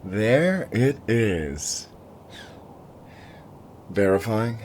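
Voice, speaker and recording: A man's voice: on the low side, very animated, very slow, a little mellow, a little hiss or hum, exaggerated voice. A man playing a cocky alien thief who leads a crew in a sci-fi audio roleplay, mock-polite and menacing. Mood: coolly threatening. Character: cool, cocky male alien cargo thief leader. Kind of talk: audio roleplay science fiction acting.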